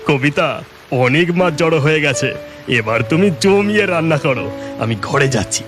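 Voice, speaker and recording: A man voicing an eager, food-loving, excitable village husband in a cartoon story, given to exclamations. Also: on the high side, normal speed, music in the background, natural voice.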